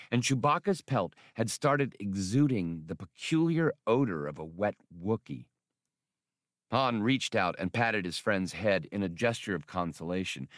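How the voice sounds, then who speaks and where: Animated male audiobook narrator, low-voiced and dry. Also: no echo. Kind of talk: scripted narration of an adventure novel.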